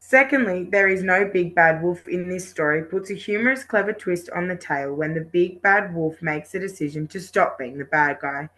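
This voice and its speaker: A woman's voice: on the low side, moderate pace, few pauses, fairly bright tone. A woman student presenter reading out a rehearsed talk from a script, carefully, with the occasional stumble or repeat.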